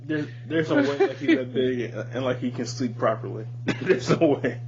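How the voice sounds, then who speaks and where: A man's voice: medium pitch, wildly swooping pitch, moderate pace, vocal fry, a crowd in the background. A man hosting a podcast, chatting casually and giving his opinions in informal, profane slang. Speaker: podcast host. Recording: muffled lo-fi audio with hum, hiss.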